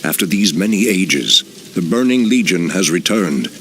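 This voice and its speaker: Stern, gravelly elf druid leader. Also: male voice, low voice, expressive, moderate pace, fairly bright tone, slight background noise. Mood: commanding. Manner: commanding, grave.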